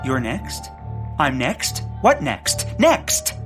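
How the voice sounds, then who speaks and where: An eccentric, indignant naturalist in a comic audio drama. The voice sits very low and swings dramatically up and down, fretful and excitable, with music underneath. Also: female voice, drawn-out words, full character voice. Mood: panicked.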